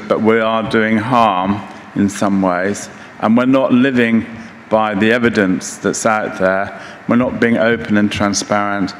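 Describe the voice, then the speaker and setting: A man in his sixties giving a conference keynote lecture in a measured, halting way, pausing mid-phrase. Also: on the low side. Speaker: keynote speaker. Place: large conference hall stage, indoors. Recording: echoey hall with reverb, background noise.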